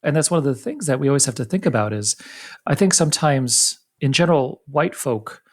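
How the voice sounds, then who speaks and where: A man hosting an interview podcast, his voice leaping upward when he stresses a point and then dropping back down, quick on his asides. He speaks at a middle pitch. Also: expressive. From United States. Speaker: podcast host. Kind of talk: casual interview.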